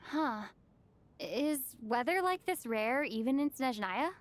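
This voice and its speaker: A woman's voice: high-pitched, lively intonation, slow. An actress playing a curious, thoughtful young female companion in a video game. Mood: curious, pensive.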